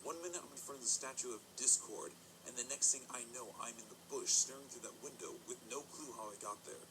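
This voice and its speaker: A man's voice: medium pitch, fast talker, over music, close to an everyday voice, rough recording. A man playing a wary pony soldier in an audio drama, with a formal military manner. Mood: puzzled.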